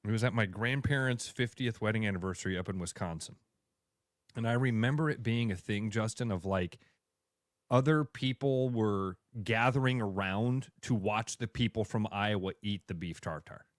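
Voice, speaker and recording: A man's voice: on the low side, normal speed, dry recording. A man co-hosting a radio show, joking and telling stories in a studio. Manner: joking, storytelling. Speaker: radio co-host. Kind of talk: casual chat.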